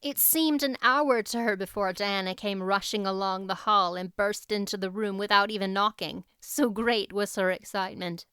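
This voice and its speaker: A woman reading a novel aloud as an audiobook narrator, in expressive scripted storybook style. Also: mid-range voice, moderate pace, hardly any pauses, clear, resonant tone, no echo, studio quality.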